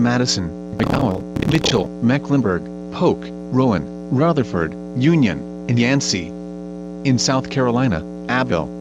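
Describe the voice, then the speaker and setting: Synthetic male weather radio voice, dull and humming. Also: mid-range voice. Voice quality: synthetic text-to-speech voice. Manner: formal, measured, impersonal reading. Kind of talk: automated weather bulletin readout. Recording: dull lo-fi radio sound with mains hum.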